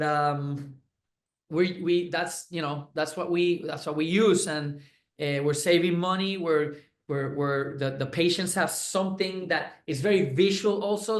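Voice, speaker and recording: A man's voice: fairly high pitch, roomy sound. A man with a Puerto Rican Spanish accent, a chiropractor and clinic owner, giving an effusive testimonial and often restarting his words. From Puerto Rico. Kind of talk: enthusiastic testimonial chat.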